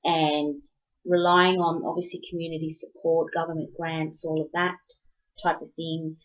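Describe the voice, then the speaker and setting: South african woman hosting a chat, lowish voice, lots of ums, kinda muffled audio. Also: little pitch movement, moderate pace. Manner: rambling, many fillers and restarts. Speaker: host. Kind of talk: casual interview chat.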